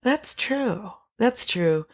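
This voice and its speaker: A woman's voice: a little high, animated, drawn-out words. A woman co-hosting a podcast, chatting casually about films in an informal, jokey way with some swearing. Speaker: podcast co-host. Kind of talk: casual film review chat.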